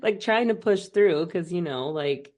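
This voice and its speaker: A woman in her late thirties, co-hosting a podcast in casual chat, her voice still rough from a cold, rambling with lots of "like". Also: lowish voice, lively intonation, measured pace, a little mellow. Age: middle-aged. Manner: chatty, lots of "like", rambling storytelling. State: recovering from a cold, voice not fully back. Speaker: podcast co-host. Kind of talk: casual chat over a video call. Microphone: remote call mic.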